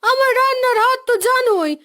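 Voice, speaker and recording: A woman's voice: very high voice, a little fast, sharp tone. A woman playing a jealous, scheming village housewife in a cartoon fairy tale. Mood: worried.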